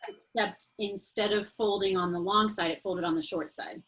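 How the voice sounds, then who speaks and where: A woman's voice: medium pitch, steady tone, normal speed, fairly bright tone, slight background noise, rough recording. A woman teaching a casual online craft class over a video call, explaining steps in a chatty way. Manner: chatty, friendly explaining with fillers.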